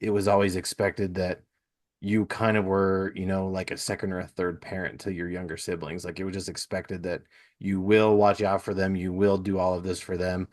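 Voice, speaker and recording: Lowish-voiced man, casual podcast host. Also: level tone, normal speed, a little mellow. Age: 30s. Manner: affirming, repeats and rephrases himself. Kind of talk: casual conversational interview.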